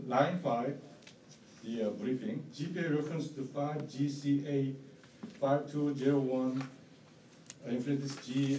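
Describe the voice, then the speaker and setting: Man, non-native English with strong accent, halting formal delivery as a bidder at a hearing; mellow tone, distant roomy sound. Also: mid-range voice. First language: not English. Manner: halting, repetitive phrasing. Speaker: bidder presenting an appeal at a hearing. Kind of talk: formal statement, partly read aloud. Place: indoor hearing room. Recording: roomy, distant mic, faint noise.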